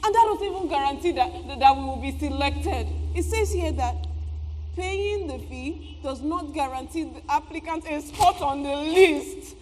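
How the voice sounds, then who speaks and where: A woman playing an excitable, outspoken market trader in a theatrical stage play. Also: high voice, normal speed, close to an everyday voice. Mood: worried. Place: theatre stage, picked up in the hall. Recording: echoey stage hall, background noise.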